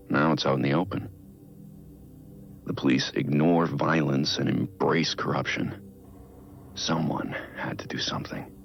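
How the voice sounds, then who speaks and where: A brooding vigilante billionaire in a game, super low gravelly voice, weary and earnest, talking to himself in an audio log. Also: male voice, dull audio, heavily acted. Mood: grave, resolute. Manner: brooding, measured, introspective. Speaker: video game character recording an audio log. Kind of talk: dramatic scripted monologue.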